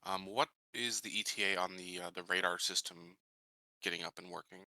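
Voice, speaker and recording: A man's voice: low-pitched, steady tone, a little fast, sharp tone, no room sound, poor audio. A man playing a tabletop roleplaying game, talking casually and a bit tentatively.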